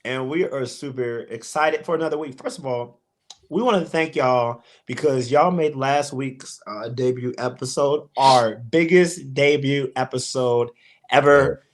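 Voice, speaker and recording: Energetic male host, mid-pitched, keeps things moving with quick asides. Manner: upbeat, promotional, quick asides. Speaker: podcast host. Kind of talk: casual podcast hosting.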